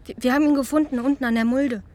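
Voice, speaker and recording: A woman's voice: sits high, steady tone, rapid-fire, a little mellow, lightly acted. A woman playing a chatty, dreamy schoolgirl in her early teens in a naturalistic German radio drama, speaking colloquially and searching for words. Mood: hesitant, sympathetic. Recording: outdoor ambience with crickets, clear background noise.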